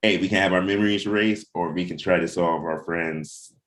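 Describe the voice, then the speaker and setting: Very deep-voiced man talking casually. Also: steady tone, brisk, fairly bright tone. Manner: rambling, thinks aloud with frequent repeats. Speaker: comic book writer. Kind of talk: casual creative discussion.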